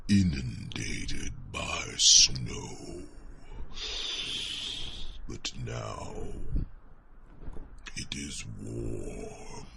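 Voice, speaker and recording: Ancient dragon, rumbling and heavily creaky, formal and gently teasing, extremely low register, for fantasy ASMR roleplay. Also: male voice, expressive, exaggerated voice. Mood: reflective, pleased. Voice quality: very deep gravelly rumble, often breathy. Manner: slow, drawn-out, formal phrasing with pensive hums. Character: ancient, wise dragon, fondly teasing companion. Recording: clear background noise, ambient sound.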